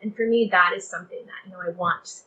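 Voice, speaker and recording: A woman's voice: on the low side, steady tone, fast, pushed and bright, slight background noise, poor audio. A young woman from Texas speaking earnestly and conversationally as an interview guest, often saying "like" and "you know". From Texas, United States.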